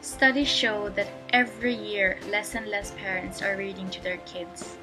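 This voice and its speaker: A soft-spoken young woman from the Philippines talks about her feelings on camera from a bedroom. She speaks through an earphone mic while music plays clearly underneath. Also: mid-range voice, steady tone, moderate pace, rough recording. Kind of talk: personal testimonial to camera. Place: bedroom at home.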